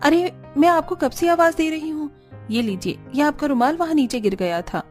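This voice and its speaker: A woman's voice: sits high, animated, normal speed, darkish tone, faint music behind. A woman voicing a proud, outspoken poor young village woman in a cartoon story. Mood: surprised, calling out. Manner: indignant, blunt, scolding.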